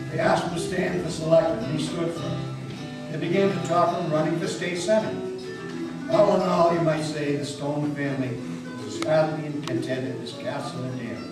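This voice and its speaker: A man giving a dramatic storytelling reading of a folk tale in a folksy, emphatic manner. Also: fairly high pitch. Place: meeting house, live reading. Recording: echoey hall from far off, music behind. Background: guitar music.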